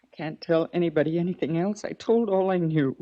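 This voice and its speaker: A woman's voice: fairly low pitch, a little fast, dry recording, lightly acted. An actress playing a grieving, guarded middle-aged mother in a weary, mournful 1940s radio drama manner. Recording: dull, dry vintage 1940s radio recording.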